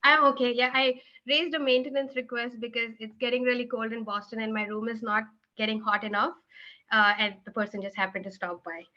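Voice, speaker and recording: A woman's voice: fairly high pitch, brisk. Woman presenting over a dull video call. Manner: steady explaining with frequent "uh" fillers. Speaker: presenter and event organizer. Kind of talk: presenting a book chapter to an online group. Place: home room.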